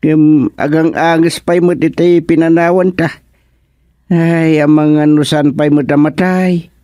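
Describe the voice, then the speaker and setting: A man's voice: on the high side, flat delivery, slow, mellow, dry recording. A man performs a practical village man in a radio comedy, matter-of-fact and level-headed. His voice is dry and close to the mic. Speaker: radio drama character. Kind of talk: Ilocano radio comedy acting.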